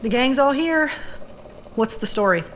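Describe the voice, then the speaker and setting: A woman's voice: a little high, lively intonation, a little slow, slight background noise, low-quality recording. An actress playing a friendly, inquisitive young woman in an audio drama, speaking informally and casually. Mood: casual, curious. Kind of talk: scripted audio drama acting.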